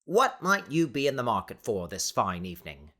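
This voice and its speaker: A man's voice: mid-range voice, sing-song, fairly bright tone. Guy doing a big sleazy storybook salesman, pompous and friendly, stretches words out like a showman. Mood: eager. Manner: theatrical, amicable, drawn-out salesman patter. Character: grandiloquent, leering huge merchant in his mid-thirties, slick showman.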